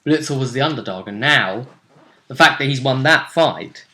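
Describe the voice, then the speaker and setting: A man talking casually as a game master and host, recapping a tabletop role-playing game with emphatic, dramatic pauses. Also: medium pitch, lively intonation, bright, forceful tone.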